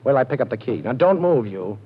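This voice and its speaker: An actor as a cool ex-con detective with a wisecracking, cocky edge, mid-pitched and steady. The sound is dull and muffled, like an old 1940s radio broadcast. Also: male voice, fast talker, noisy background, lightly acted. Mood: tough.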